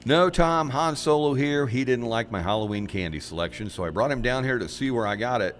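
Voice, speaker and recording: A man's voice: mid-range voice, fairly quick, long reverb tail, close to an everyday voice. A man acting in a radio play as a genial, mischievous guide with a folksy, wry, conversational manner. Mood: matter-of-fact, wry. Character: genial, mischievous man guiding visitor into hell.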